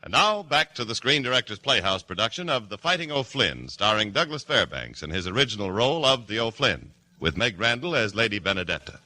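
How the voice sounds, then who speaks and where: A male announcer in vintage radio style speaks over loud music. His voice is lowish and moves animatedly in pitch as he introduces the drama. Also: big pitch swings, fairly quick, hardly any pauses.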